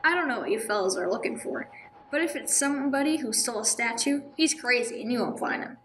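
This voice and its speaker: Woman playing a high-voiced, opinionated shopkeeper. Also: expressive, quick pace, fairly bright tone, slight background noise. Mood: dismissive, certain. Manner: emphatic, blunt, chatty. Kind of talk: 1950s radio drama acting, amateur church production.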